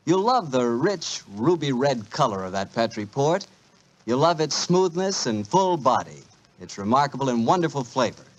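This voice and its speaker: A man's voice: mid-range voice, rough recording. An American man announcing and hosting a 1940s radio show in a salesmanlike manner.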